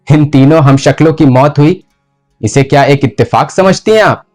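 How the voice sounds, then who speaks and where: A male actor playing a ruthless, tormented medieval sultan in a slow, deliberate theatrical monologue with heavy pauses. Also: fairly high pitch, darkish tone, faint music behind, close to an everyday voice. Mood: grim realisation. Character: brooding, ruthless, tormented medieval sultan confessing his murders. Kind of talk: dramatic theatrical Hindustani monologue.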